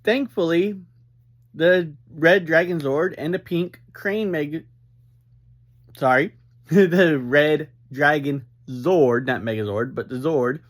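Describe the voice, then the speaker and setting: Male review host, higher-pitched voice, unhurried and halting, emphatic, upbeat, prone to tangents. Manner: halting, easily sidetracked, emphatic; upbeat, energetic. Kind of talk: casual rambling episode review.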